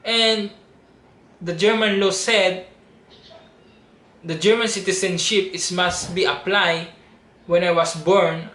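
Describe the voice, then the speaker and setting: High-voiced young Filipino-accented man, pleading. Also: slow pace, pauses often. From Philippines. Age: 20s. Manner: earnest, pleading. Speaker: reality show audition applicant. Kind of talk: personal plea to camera. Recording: roomy echo with steady background noise.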